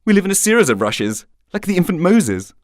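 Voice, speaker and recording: A man voicing a brisk, self-possessed young woman milliner in a story, breezy, witty and talkative. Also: sits high, very animated, no echo, studio quality, voice pushed up. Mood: breezy, amused.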